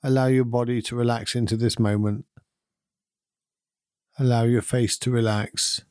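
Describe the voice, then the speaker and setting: Mellow man gently guiding a meditation. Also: medium pitch, smooth, no echo, studio quality. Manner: calm, soothing, unhurried with long pauses. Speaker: meditation guide and podcast host. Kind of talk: guided meditation, gentle instruction.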